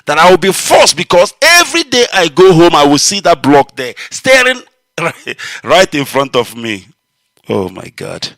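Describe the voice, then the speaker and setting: A man, an Igbo speaker, telling a personal story on a live broadcast in an animated, emotional way. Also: no room sound. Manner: animated, emotional, swinging high when excited. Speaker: radio broadcast host.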